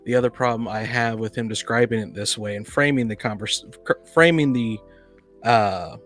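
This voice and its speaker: A man with an average-pitched voice argues his side in a casual debate. He is insistent and contrary, but halting as he builds his sentences. Music plays faintly behind him. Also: a bit bright. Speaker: panelist in a tabletop gaming discussion.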